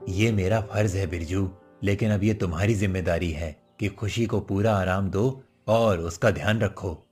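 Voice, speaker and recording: A man voicing a calm, dutiful village healer, measured and reassuring, in a cartoon story. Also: low voice, breathy edge, faint music behind.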